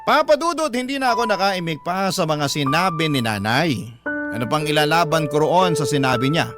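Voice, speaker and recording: A man's voice: a little high, animated, normal speed, over music. A man with a Filipino accent narrating an emotional first-person story in the style of radio drama.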